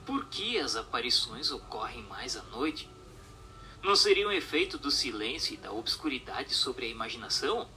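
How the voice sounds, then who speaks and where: Male radio-drama narrator: formal scripted read, animated swings in pitch, forceful and declamatory, music bed. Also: normal speed, rough recording. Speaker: narrator and questioner. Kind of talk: scripted dramatized reading of a book.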